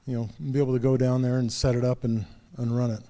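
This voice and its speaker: A man's voice: mid-range voice, level tone, fast, dark tone. A man leading an in-person club meeting, making casual, rambling announcements with fillers and restarts. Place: meeting room.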